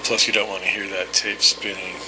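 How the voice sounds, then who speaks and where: A man's voice: sits low, little pitch movement, brisk. A man thinking aloud in a casual, unscripted hobbyist demonstration, rambling and tentative, speaking through an old tape-recorder microphone. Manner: rambling, tentative, self-deprecating. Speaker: hobbyist vlogger demonstrating vintage audio gear. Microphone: old reel-to-reel recorder microphone. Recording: noisy vintage tape-recorder microphone, hiss.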